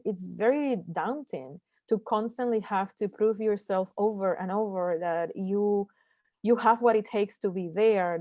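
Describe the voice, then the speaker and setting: A woman from Puerto Rico whose first language is Spanish, speaking earnestly as a panelist in an online discussion, often pausing with fillers and restarting sentences. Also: medium pitch, a little mellow, no echo. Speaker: panelist, scientist.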